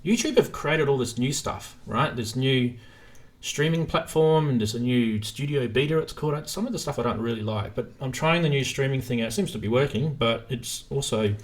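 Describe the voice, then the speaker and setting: Man, live stream host, casual chat, informal, thinking aloud, medium pitch. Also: moderate pace.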